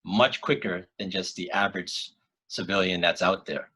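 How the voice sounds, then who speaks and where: A man hosting a podcast in casual chat, who breaks in with quick questions. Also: low-pitched, fairly even intonation, bright, forceful tone, husky. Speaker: podcast host.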